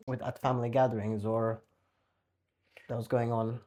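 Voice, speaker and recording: A man with a Romanian accent hosts a podcast interview. His voice is mellow and middle-pitched, and he puts his questions conversationally. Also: little pitch movement, moderate pace. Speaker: podcast host.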